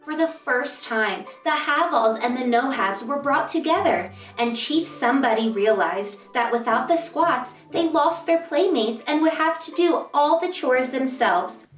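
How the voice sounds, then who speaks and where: A woman with a fairly high voice reads a children's storybook aloud in an expressive, deliberate way. She sounds a little distant from the microphone, in a roomy space with some echo. Music plays along behind her. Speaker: host of a children's read-aloud show.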